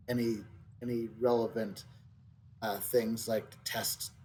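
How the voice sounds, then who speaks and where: A man giving casual game commentary as a streamer, thinking aloud in a halting way. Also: lowish voice, slight background noise.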